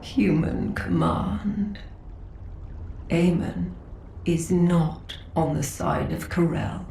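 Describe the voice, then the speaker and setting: Game role: ailing female council leader, dignified and halting, gravelly, in an echoing room. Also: fairly low pitch, mellow, a little hiss or hum, exaggerated voice. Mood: grave, weary. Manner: measured, formal, halting. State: unwell, coughing. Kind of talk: video game story dialogue.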